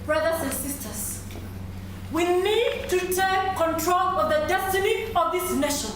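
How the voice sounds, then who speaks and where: A woman's voice: very high voice, unhurried. A woman playing a fervent young activist on stage, delivering an emphatic rallying speech. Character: fervent young activist rallying brothers and sisters to save nation. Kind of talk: declamatory stage acting. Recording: echoey hall from far off, faint noise.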